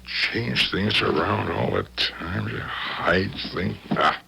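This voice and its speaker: An actor playing a fussy but good-natured small-town mayor in a 1970s radio drama, raspy and folksy. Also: male voice, sits low, slow pace, bright, forceful tone, heavily acted. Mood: grumbling, flustered. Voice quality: gravelly, raspy. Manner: grumbling, folksy.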